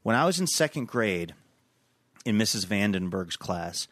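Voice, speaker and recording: Low man, casual anecdote, dry close mic, wry. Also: sing-song, moderate pace, fairly bright tone, no echo. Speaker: podcast guest. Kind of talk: casual chat.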